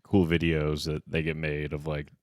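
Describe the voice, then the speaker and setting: A man's voice: extremely low pitch, completely flat delivery, moderate pace, no echo. A man co-hosting a comedy podcast, rambling and riffing on wordplay in casual banter from a studio. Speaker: podcast co-host.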